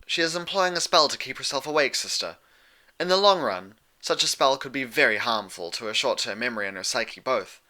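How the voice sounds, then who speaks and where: A man plays a regal pony princess of the night in a storybook tale. The voice is lifted well above his own and very bright and forceful. She speaks formally and proudly, with a sharp, challenging edge. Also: medium pitch, animated, fairly quick, pitched above the performer's own voice. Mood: concerned, firm. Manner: formal, archaic, challenging phrasing.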